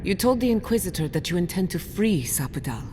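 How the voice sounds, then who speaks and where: An actress playing a bold, curious scholarly explorer in a video game, speaking in an earnest, thoughtful manner. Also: female voice, fairly low pitch, sing-song, brisk, music in the background. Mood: earnest, serious. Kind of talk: video game dialogue acting.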